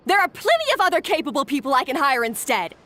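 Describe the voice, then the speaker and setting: A woman's voice: sits high, sing-song, quick pace, pushed and bright, full character voice. An actress playing a harsh, bossy head chef who berates her kitchen staff, in a game cutscene. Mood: contemptuous. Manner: scolding, contemptuous. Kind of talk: game voice acting.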